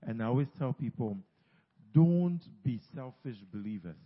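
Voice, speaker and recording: Male pastor, husky, urging, echoing church hall, muffled sound. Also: medium pitch, animated, moderate pace. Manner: urging, repeats phrases, teases congregation members. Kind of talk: sermon exhortation.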